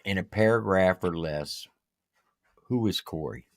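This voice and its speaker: A woman's voice: rumbling low, a little slow, no room sound, clean studio sound. A woman hosting a casual live interview, asking questions with a playful, teasing manner. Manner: playful, teasing, lively reactions. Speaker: show host, interviewer.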